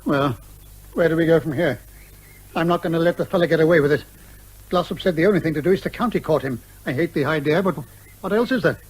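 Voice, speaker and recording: An actor playing an exasperated, blustering older British major with old-fashioned turns of phrase, in a radio comedy drama. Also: male voice, a little high, fast, husky, low-quality recording. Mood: grumbling, resolute.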